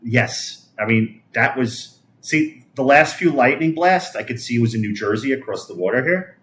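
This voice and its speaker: A man's voice: mid-range voice, lively intonation, moderate pace, a bit bright. A man, chatting casually on a livestream in a rambling, conversational way that often trails off. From New York City, USA. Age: 50s. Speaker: livestream host. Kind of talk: casual livestream chat, answering viewer comments.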